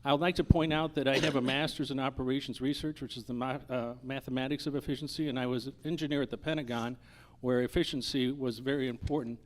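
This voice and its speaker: A man candidate answering at a political forum, halting and often correcting himself. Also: medium pitch, fairly even intonation. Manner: halting, hesitant, frequent self-corrections.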